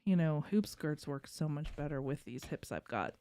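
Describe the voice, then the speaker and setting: A woman's voice: low-pitched, animated, normal speed, dark tone, no room sound, clean studio sound. A woman co-hosting a casual talk show, bantering in an emphatic, playfully argumentative way. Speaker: podcast co-host.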